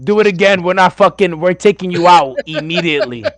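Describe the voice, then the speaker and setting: A man's voice: sits high, a little fast, a bit bright. A man co-hosting a podcast, talking in a rowdy, joking way and swearing a lot. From Puerto Rico. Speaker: podcast co-host. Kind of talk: casual profane banter.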